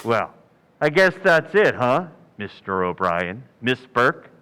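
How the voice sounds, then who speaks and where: Wry, shrewd police lieutenant played by a man, animated delivery. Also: medium pitch, slow pace, a little hiss or hum, exaggerated voice. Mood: quietly triumphant. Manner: drawling false modesty, hesitant asides. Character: wry, shrewd male police lieutenant, folksy, patiently needling. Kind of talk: old-time radio crime drama acting.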